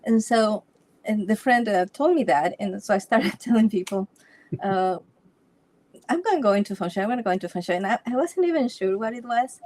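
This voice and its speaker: Ecuadorian woman chatting in an interview, restarts a lot, laughs softly between thoughts. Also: medium pitch, animated, fairly quick. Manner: storytelling with frequent restarts and light laughs. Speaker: Feng Shui consultant, interview guest. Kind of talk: casual interview chat.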